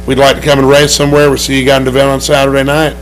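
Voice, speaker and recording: A man with a hoarse voice and an Oklahoma accent, a race track promoter talking in a TV studio interview, upbeat and rambling with many restarts. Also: mid-range voice, completely flat delivery, very fast, poor audio.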